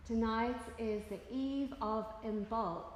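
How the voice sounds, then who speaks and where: Female meditation guide, extremely unhurried and soothing, long pauses, recorded in an echoey space with long reverb. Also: on the high side, steady tone. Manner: soothing, measured, with long pauses between phrases. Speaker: yoga nidra teacher leading guided relaxation.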